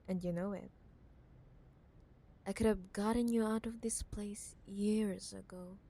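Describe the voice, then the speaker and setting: A woman playing a possessive, condescending wealthy CEO ex-girlfriend in an intimate cyberpunk audio roleplay, teasing and scornful with drawn-out emphasis. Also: mid-range voice. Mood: condescending, exasperated. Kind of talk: ASMR audio roleplay, intimate dramatic monologue.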